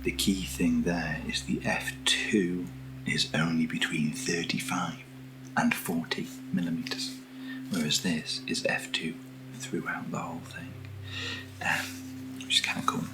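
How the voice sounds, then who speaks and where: A British man speaking softly in an ASMR unboxing style, hushed and often slipping into a whisper, as the host of the video. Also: sits low, flat delivery, a little slow, over music, poor audio. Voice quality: soft, often dropping to a whisper. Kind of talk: soft-spoken ASMR unboxing.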